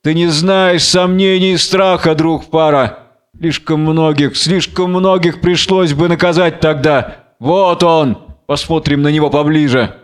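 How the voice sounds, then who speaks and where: A man's voice: on the high side, steady tone, measured pace, smooth, lightly acted. A man reading the part of a commanding, scheming Philistine lord, imperious and falsely solicitous, in a biblical tragedy. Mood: scornful musing.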